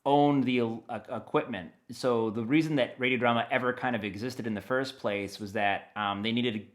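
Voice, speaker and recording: A man's voice: mid-range voice, fairly even intonation, normal speed. A middle-aged American man talking casually as an interview guest, explaining things in a rambling, think-aloud way. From Maine, USA. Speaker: interview guest, audio dramatist.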